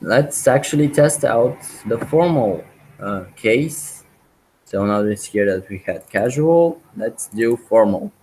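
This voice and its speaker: A man teaching a programming webinar, walking through live code with many pauses, ums and restarts. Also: mid-range voice, animated, darkish tone, slight background noise, rough recording. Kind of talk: live coding walkthrough.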